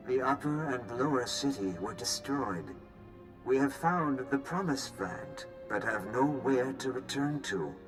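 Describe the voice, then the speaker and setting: An actor playing a solemn leader of outcasts, recording a grave, measured journal in a video game. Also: male voice, mid-range voice, close to an everyday voice, poor audio.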